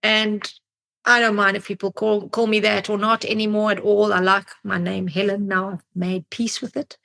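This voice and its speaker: A woman, who grew up in Africa, talks as a podcast guest and tells stories in a thoughtful way, often pausing to find her words. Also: mid-range voice, flat delivery, vocal fry, pushed and bright. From Zimbabwe, grew up in South Africa. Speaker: podcast guest, psychologist and drummer. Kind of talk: reflective interview storytelling.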